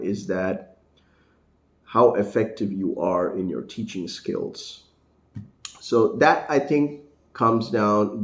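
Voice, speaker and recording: Indian guy w a neutral american accent, lowish voice, lots of um and you know, echoey room. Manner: rambling, many fillers and restarts. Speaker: English teacher and vlogger. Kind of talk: casual talking-head vlog.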